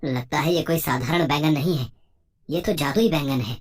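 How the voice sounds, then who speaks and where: A woman voicing a humble, puzzled village farmer and husband in a moral story, with a simple, expressive delivery. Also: sits low, moderate pace, a crowd in the background, lightly acted. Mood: puzzled, amazed. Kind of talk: moral story cartoon dialogue.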